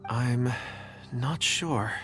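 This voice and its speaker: A man's voice: medium pitch, very slow, over loud music. An actor playing a shy young miner in a video game who speaks hesitantly and earnestly, stumbling over his words. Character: shy young male miner who reads memories in ore.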